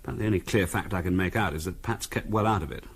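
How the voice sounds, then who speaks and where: An actor playing an impatient, patronising English middle-class husband in a 1970s radio drama, brusque and dismissive. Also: male voice, low voice, fast talker, gravelly, slightly rough, full character voice. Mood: questioning, cautious. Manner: brusque, condescending.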